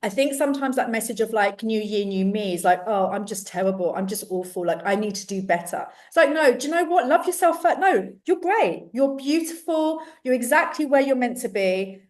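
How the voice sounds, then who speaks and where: A woman from London with a strong London accent, a cookery teacher chatting as a podcast guest, enthusiastic and quick to laugh, with many restarts. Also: on the high side, expressive, distant mic. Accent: London English. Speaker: guest, cookery teacher and self-love coach. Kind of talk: casual podcast interview.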